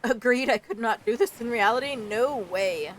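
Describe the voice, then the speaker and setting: A woman giving casual, expressive let's-play commentary as a gaming streamer, informal and prone to swearing. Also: fairly high pitch, normal speed, slight background noise, low-quality recording.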